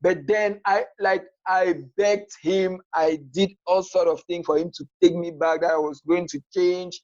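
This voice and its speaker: A man's voice: high voice, fairly even intonation, unhurried, a little mellow, no room sound, highs cut off. A man with a Cameroonian accent, a podcast guest telling his personal story conversationally.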